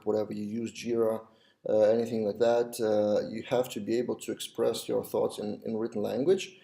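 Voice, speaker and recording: A man's voice: lowish voice, gravelly, dark tone. A man in his thirties from Lithuania with a Lithuanian accent, talking casually to a webcam in a small office, with frequent fillers and restarts. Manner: hesitant, many restarts and fillers. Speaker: web developer vlogger. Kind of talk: casual talk to camera.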